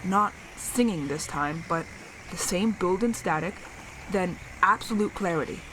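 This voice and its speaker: Lowish woman survivor actress, musing and hesitant, talking into a radio with clear noise behind. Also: a little slow, close to an everyday voice. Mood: puzzled, pensive. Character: thoughtful adult woman survivor transmitting by radio. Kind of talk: audio drama monologue as radio transmission. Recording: clearly noisy radio transmission with ambience.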